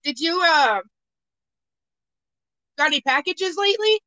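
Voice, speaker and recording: A woman's voice: sits high, lively intonation, measured pace, pushed and bright, no room sound. A woman co-hosting in casual chat, blunt and joking with salty language. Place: remote phone call. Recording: dull, lo-fi phone call audio.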